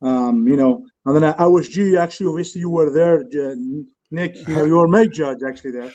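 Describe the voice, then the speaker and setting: A middle-aged man from Algeria with a North African French accent, chatting casually as an interview guest in halting, repetitive English. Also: on the high side, mellow. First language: not English. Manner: halting, repeats words and says "you know" constantly. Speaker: guest, strongman athlete and promoter. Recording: dull lo-fi live-stream audio.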